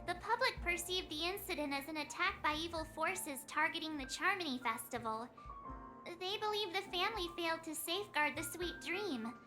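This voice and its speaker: A woman's voice: high-pitched, sharp tone. An actress playing an earnest young woman in a video game, speaking in a formal, articulate, explanatory way. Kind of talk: voiced video game dialogue. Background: faint background music.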